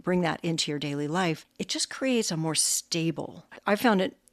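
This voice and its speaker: A woman with a low, gravelly voice talks reflectively as a podcast co-host. She searches for words and fills her thoughts with "like" and "you know". Her voice sounds dry and close. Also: lively intonation, moderate pace, no room sound. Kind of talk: casual reflective conversation.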